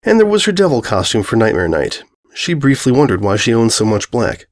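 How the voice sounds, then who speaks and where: A man's voice: medium pitch, big pitch swings, a little fast. A man reading a scripted comic story aloud as its narrator.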